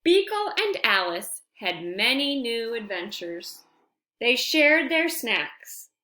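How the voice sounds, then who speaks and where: Slow, animated woman reading a storybook aloud. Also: on the high side, roomy sound. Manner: deliberate, animated phrasing with friendly asides to listeners. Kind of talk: expressive read-aloud storytelling for young children.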